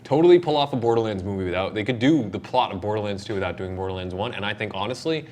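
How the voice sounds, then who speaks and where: A man's voice: fairly low pitch, fast, a little mellow. A young man co-hosting a casual group chat, opinionated and emphatic, repeating words to drive points home. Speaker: podcast co-host.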